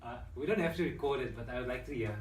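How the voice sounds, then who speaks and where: A man's voice: mid-range voice, fast talker. A South African man hosting a casual interview, asking loose, chummy questions that stop and restart. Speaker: interviewer host. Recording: roomy, distant mic, faint hiss.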